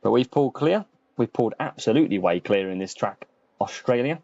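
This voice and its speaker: Male streamer, casual game commentary, chatty, dark relaxed tone, dry muffled lo-fi audio. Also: medium pitch, brisk, gravelly, dry recording. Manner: chatty, addresses viewers by name. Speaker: streamer and host of a gaming channel. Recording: muffled lo-fi sound, music behind. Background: faint background music.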